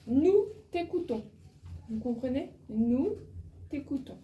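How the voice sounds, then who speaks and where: Patient female French teacher, fairly high voice, taken from across a noisy, echoey classroom. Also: animated, muffled recording. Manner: patient, slow and encouraging, switches into English to explain words. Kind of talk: beginner language class. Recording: echoey room, mic far off, noisy.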